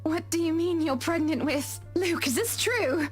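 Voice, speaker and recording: Prim young wife. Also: male voice, unhurried, faint music behind. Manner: prim, falsetto. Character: poised young wife, cool and catty.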